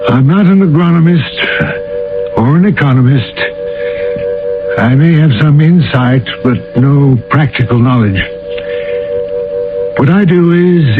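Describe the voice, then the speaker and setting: Candid, troubled statesman, slightly high male voice, deliberate, narrow old-radio sound with music. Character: earnest, troubled male deputy leader, sober and candid. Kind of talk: 1980s radio drama acting. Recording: band-limited old radio broadcast with music.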